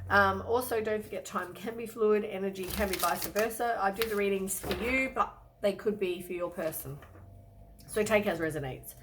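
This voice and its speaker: A woman talks through a weekly tarot reading in a loose, rambling way, filling pauses with ums. Her voice is croaky from a cold. She sits in a middling pitch for a woman. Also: background noise. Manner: relaxed, rambling, many ums and hedges. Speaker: tarot reader.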